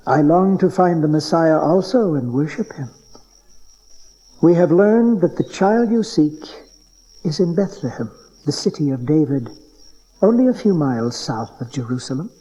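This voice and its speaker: An actor playing a scheming king who feigns piety, with measured, grandiose oratory in a Bible audio drama on a dull cassette recording. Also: on the high side, dark tone. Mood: calculating. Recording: dull cassette-tape sound.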